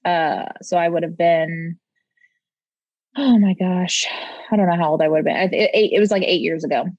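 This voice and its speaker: A woman's voice: lowish voice, normal speed, no room sound. A woman talking as a podcast guest in an earnest way, repeating phrases for emphasis. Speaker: podcast guest, life coach. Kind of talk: casual conversation. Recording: dull lo-fi sound.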